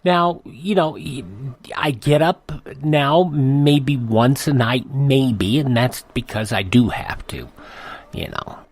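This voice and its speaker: A man's voice: mid-range voice, takes it slowly. An older man hosting a radio show, announcing and reading ads in a folksy, rambling, conversational way.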